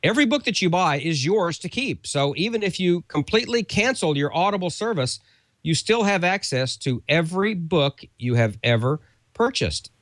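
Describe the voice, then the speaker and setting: A man's voice: high voice, lively intonation, normal speed, a bit bright, no room sound. A man co-hosting a show, giving a casual, conversational sponsor read that rambles a little. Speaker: show co-host.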